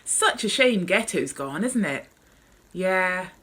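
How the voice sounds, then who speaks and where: A woman's voice: lowish voice, animated, takes it slowly, pushed and bright, husky, exaggerated voice. A woman reading out the lines of a chatty, gossipy male paramedic in an amused, conversational way from a memoir. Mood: wistful gossip. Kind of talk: author reading memoir dialogue aloud.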